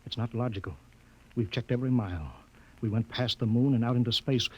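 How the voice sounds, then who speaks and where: An actor with an American accent playing a skeptical, authoritative spaceship captain in a 1950s radio drama. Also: male voice, medium pitch, brisk, lo-fi sound, close to an everyday voice. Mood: baffled, insistent. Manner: authoritative, clipped orders. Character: commanding, skeptical male spaceship captain insisting on logic. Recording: noisy old 1950s radio recording.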